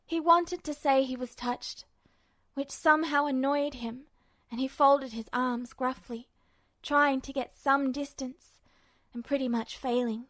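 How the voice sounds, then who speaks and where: A woman with a high voice narrates fiction from a script. The recording is very dry, with the sound of a story read aloud for listeners. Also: steady tone, unhurried, a bit bright, no room sound. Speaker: podfic narrator.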